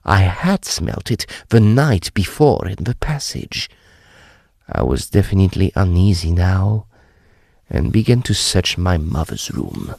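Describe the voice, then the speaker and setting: An actor playing a polite, uneasy adult son who recounts a haunting in formal, old-fashioned, expressive storytelling for an audio drama. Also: male voice, deep voice, a little slow, creaky, no room sound, clean studio sound, full character voice.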